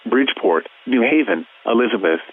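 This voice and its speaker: A synthetic male announcer reading a scripted emergency weather bulletin in a formal, measured way. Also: medium pitch, expressive, husky. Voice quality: synthetic text-to-speech voice. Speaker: automated weather alert announcer. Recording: narrow, tinny weather radio sound.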